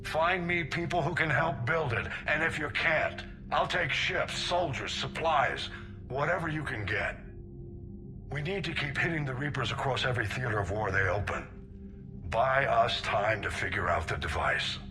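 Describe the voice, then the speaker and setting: A grave older male admiral from a video game , acted by a man. He talks with deliberate authority and determination at a middle pitch, with music behind him. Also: close to an everyday voice. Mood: grave, commanding. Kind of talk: video game cutscene acting.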